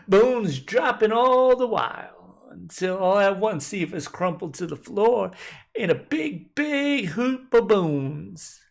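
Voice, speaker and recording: A man with a creaky, fairly high voice tells a folk tale. His delivery is folksy and colloquial, the manner of a storytelling host. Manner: folksy, colloquial Southern-style phrasing. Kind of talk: animated folk-tale narration.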